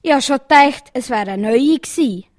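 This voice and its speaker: A woman with a Swiss German accent reading jokes aloud, acting out the quoted lines with animated storytelling. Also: high voice, normal speed, no room sound.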